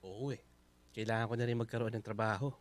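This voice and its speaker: A man's voice: lowish voice, steady tone, fast, natural voice. A man with a Filipino accent playing a humble, grateful houseguest in a radio drama, with a polite, self-effacing manner.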